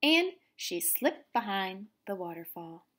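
Female children's story reader, deliberate and dramatic, slow pace, animated pitch. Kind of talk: expressive children's read-aloud. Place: room at home.

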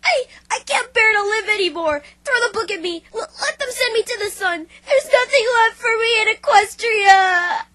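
A woman's voice: very high-pitched, lively intonation, normal speed, few pauses, pushed and bright, no echo, heavily acted. A woman voicing a brash, melodramatic cartoon pony with wounded pride and a blunt manner. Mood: despairing.

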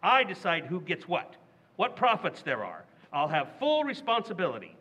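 A man's voice: sits high, wildly swooping pitch, normal speed, bright, forceful tone, breathy edge, highs cut off, full character voice. An actor playing a boastful, blustering middle-aged father in a 1940s-style radio comedy, brash and full of chuckles. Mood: cocky.

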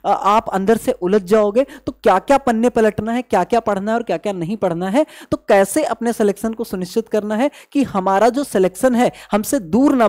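A man's voice: sits high, darkish tone. A young man teaching an exam-strategy class, speaking emphatically, repeating his points and asking rhetorical questions. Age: young adult. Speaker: maths teacher at an exam coaching channel. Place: indoor teaching studio at a digital board.

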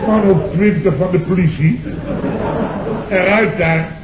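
An actor playing a blustering, indignant boss in a radio comedy, exclaiming and repeating himself. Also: male voice, high-pitched, a little slow. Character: blustering, indignant boss recounting his misadventures. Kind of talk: 1970s radio comedy acting. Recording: muffled, noisy old radio recording, roomy.

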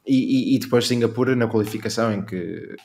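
A man's voice: medium pitch, brisk, gravelly, darkish tone. A man with a European Portuguese accent, co-hosting a casual podcast chat, hesitant and repeating words as he argues his opinions. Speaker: podcast co-host. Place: remote recording.

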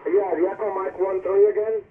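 A man's voice: high voice, steady tone, brisk, roomy sound. Tinny man's voice through heavy radio static. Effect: radio transmission. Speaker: amateur radio operator. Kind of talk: radio contact with call signs. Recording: tinny, very noisy radio speaker, distant. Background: loud static.

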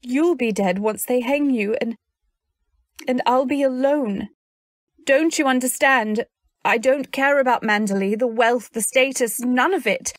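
A woman's voice: high-pitched, measured pace, no echo, clean studio sound. A woman playing a fiercely loyal, protective wife in a radio drama, speaking earnestly and with dramatic emphasis. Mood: pleading. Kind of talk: old-time radio drama acting with first-person narration.

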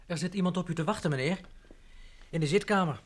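A man's voice: sits high, quick pace, loud music behind the voice, close to an everyday voice. A man acting a fussy, deferential house servant in an old Dutch radio drama, speaking hurriedly. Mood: flustered.

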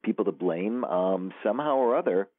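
Lower-voiced man over a phone line. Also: lively intonation, moderate pace, dry recording. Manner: thoughtful, hesitant with many ums. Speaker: author guest. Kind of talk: interview answers.

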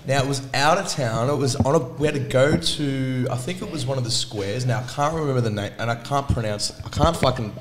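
A man's voice: medium pitch, a little fast, hardly any pauses, slight background noise. Rambling, profane male podcast host. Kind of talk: casual chat.

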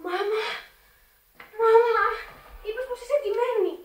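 A woman's voice: very high-pitched, a little mellow. An actress playing an eager, lovestruck teenage girl in a Greek radio drama, girlish and impulsive. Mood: urgent, pleading. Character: eager, lovestruck teenage Italian-immigrant girl, pleading daughter. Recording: roomy, distant old radio recording.

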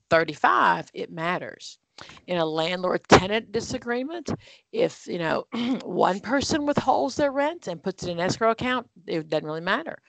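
A woman's voice: lowish voice, dry recording, rough recording. A woman leading an online exam-prep study group, explaining answers with everyday examples in a conversational way over a video call.

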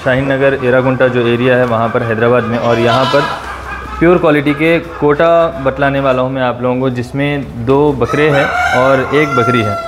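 A man's voice: medium pitch, fairly even intonation, moderate pace, background noise. A man hosting an on-site interview in a goat pen, repeating prices and details back for viewers. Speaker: host of a goat-sale channel.

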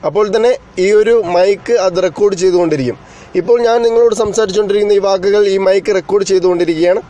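High-pitched, quick-talking man. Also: warm tone. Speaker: tech tutorial host. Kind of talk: explanatory screen-recording tutorial narration.

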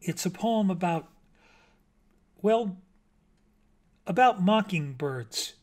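A timid bat poet from a storybook, acted by a male reader. He speaks politely and hesitantly, with an apologetic, deferential manner. His voice is a bit high. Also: lively intonation, unhurried.

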